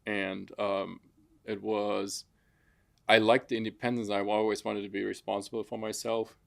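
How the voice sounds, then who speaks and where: A man's voice: fairly low pitch, little pitch movement, moderate pace, gravelly. A man from Germany with a German accent, telling personal stories casually as an interview guest. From Frankfurt, Germany. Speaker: interview guest, construction business founder.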